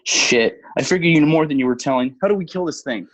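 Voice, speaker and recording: A low, husky woman playing a tough soldier in a horror table read, gruff and suspicious, with swooping, animated delivery. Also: quick pace, poor audio. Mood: alarmed, gruff.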